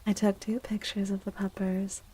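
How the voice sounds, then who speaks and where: A woman speaking softly and breathily, often near a whisper, in a gentle ASMR livestream style. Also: medium pitch, completely flat delivery, moderate pace, husky, low-quality recording. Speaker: streamer and tarot reader. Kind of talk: soft-spoken ASMR livestream chat.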